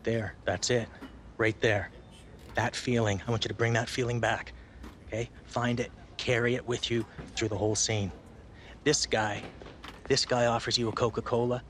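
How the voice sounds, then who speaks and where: A man's voice: medium pitch, flat delivery, moderate pace, fairly bright tone, slight background noise, natural voice. A man playing an intense film director in a video game cutscene, coaxing with short, emphatic, repeated prompts.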